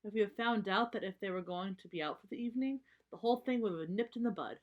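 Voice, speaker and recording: A woman voicing a mild, reasonable father bear from a children's storybook in a measured, explanatory way. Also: mid-range voice, muffled recording, close to an everyday voice. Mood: reasoning.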